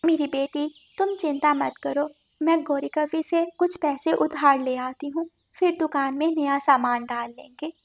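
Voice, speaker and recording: A woman voicing a gentle, innocent, caring mother bird with a soft, soothing manner in a cartoon story. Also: sits high, fairly even intonation, measured pace, few pauses. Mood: soothing, worried. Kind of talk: Hindi animated moral-story dialogue.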